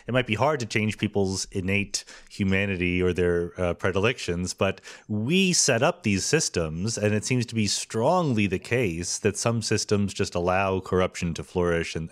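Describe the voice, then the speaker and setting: A man hosting a podcast interview, asking curious, prompting questions and laughing easily. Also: fairly low pitch. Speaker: podcast host. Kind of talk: conversational interview.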